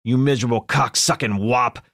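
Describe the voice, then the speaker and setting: A man voicing a loud, furious mob boss who rants and curses at full volume. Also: mid-range voice, fairly even intonation, moderate pace, no room sound, studio quality, pitched above the performer's own voice. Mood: enraged. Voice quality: shouting, explosive. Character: loud furious foul-mouthed older male mob boss.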